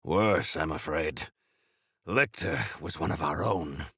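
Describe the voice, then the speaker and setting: An actor playing a grandiose, eccentric exiled miner rebel in a video game, speaking in florid, archaic, theatrical phrases. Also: male voice, a bass voice, slow, bright, forceful tone, breathy edge, no echo, studio quality, heavily acted. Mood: grave, bitter.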